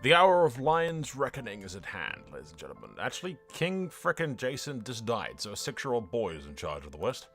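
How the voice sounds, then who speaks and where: A man's voice: medium pitch, huge pitch swings, brisk, hardly any pauses, a bit bright, faint music behind. A man giving casual, blokey game commentary, swearing freely and addressing his viewers. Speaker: gaming video host.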